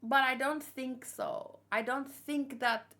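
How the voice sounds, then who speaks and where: A woman's voice: high voice, steady tone, slow pace, bright, forceful tone. A woman chatting casually and unscripted, thinking aloud and asking questions as she hosts a reaction video. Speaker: manga reaction host.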